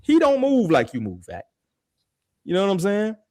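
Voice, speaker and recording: Animated New man, casual talk. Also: high-pitched, normal speed, no echo, clean studio sound. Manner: animated, street slang, frequent "you feel me". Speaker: podcast host. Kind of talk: casual chat.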